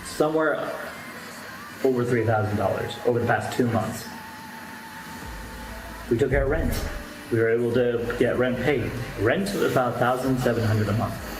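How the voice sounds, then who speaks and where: A man's voice: medium pitch, moderate pace, pauses often. Man in an echoey, noisy room. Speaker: YouTuber streamer. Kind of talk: candid personal monologue to viewers. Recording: loud-enough roomy echo with background noise.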